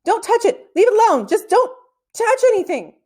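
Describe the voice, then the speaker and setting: An anxious schoolboy from a storybook, acted out by a woman reader. The voice is high and swooping, urgent and pleading, that of a cautious child who frightens easily. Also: very animated, moderate pace, mellow. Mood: frightened pleading. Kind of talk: children's storybook read-aloud.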